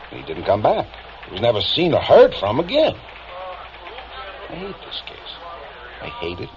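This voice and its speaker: Baffled, brusque police captain, old radio drama. Low voice, lively inflection, dull recording. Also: male voice, slight background noise. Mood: frustrated, baffled. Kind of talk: vintage radio drama acting, conversational.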